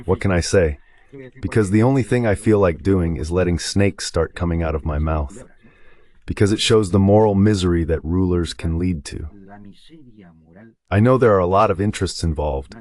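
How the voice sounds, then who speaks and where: Deep-voiced man giving political commentary. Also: darkish tone. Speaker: translated voice of a political guest.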